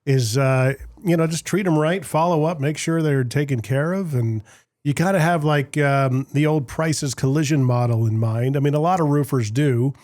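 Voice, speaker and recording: A man's voice: fairly high pitch, moderate pace, a little mellow, dry recording, studio quality. A man hosting a business podcast, talking casually and rambling with lots of restarts. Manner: chatty, rambling with many restarts. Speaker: podcast host. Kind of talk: casual business interview chat.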